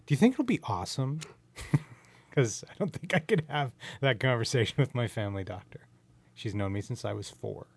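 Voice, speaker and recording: Soft-spoken casual man hosting a podcast, deep-voiced. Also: animated, normal speed, slightly rough, no echo. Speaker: podcast host. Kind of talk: casual interview chat.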